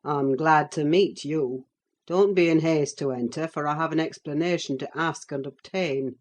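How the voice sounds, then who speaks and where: A woman voicing a wicked middle-aged gentleman in an audiobook, sardonic and accusing, with a deliberate delivery. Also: natural voice. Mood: insistent, mocking. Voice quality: deep. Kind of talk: audiobook character voice.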